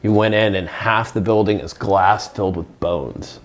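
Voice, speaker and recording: A man's voice: on the low side, steady tone, moderate pace. A man co-hosting a podcast, chatting casually in a slangy, profane way and telling anecdotes. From Europe, partly grew up there. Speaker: podcast co-host; military veteran. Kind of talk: casual chat.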